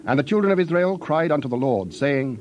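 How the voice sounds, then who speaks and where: A man narrating scripture in a solemn, measured storytelling style. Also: on the high side, expressive, highs cut off, a little hiss or hum.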